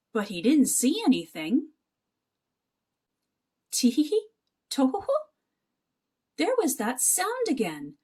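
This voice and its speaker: A woman narrating a children's picture book aloud as an expressive, animated storyteller. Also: high voice, breathy edge.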